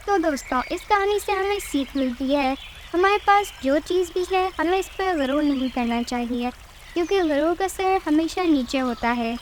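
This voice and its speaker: A woman's voice: sits high, lively intonation, moderate pace, a little hiss or hum. A woman reading scripted narration for a children's cartoon moral story.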